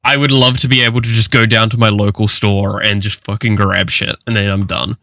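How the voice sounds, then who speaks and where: A New man in his twenties chats on a livestream in a slightly low, forceful voice. His talk is loose and slangy, full of swearing, with a very dry sound and little room to it. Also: little pitch movement, a little fast, no room sound. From New Zealand. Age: 20s. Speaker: streamer. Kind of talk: casual livestream chat.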